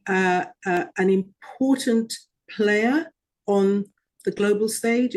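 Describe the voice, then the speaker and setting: Hesitant, measured woman guest. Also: lowish voice, darkish tone, poor audio. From Guyana. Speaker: interview guest. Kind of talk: broadcast interview answers.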